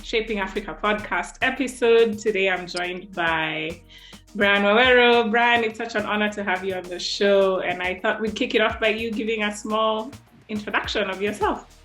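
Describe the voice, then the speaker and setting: A woman hosts an interview podcast, welcoming her guest warmly and nudging him along with short affirmations. Her voice sits in the middle range. The room around her is echoey, with faint music in the background. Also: mid-range voice, normal speed. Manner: warm and encouraging, with many short affirmations. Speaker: podcast host. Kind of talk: interview hosting.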